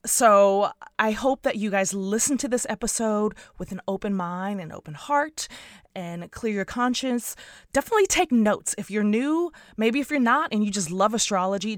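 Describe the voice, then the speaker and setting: A woman's voice: medium pitch, no room sound, clean studio sound. Gushing, bubbly woman podcast host. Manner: bubbly, gushing, quick asides. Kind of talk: casual podcast interview.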